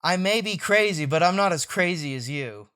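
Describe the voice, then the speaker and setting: A weary, grumbling dad, put on by a woman storyteller. The delivery is dry and reluctant, with a bright edge to the voice, as in a comic strip read aloud. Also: fairly low pitch, animated, moderate pace, clear, resonant tone.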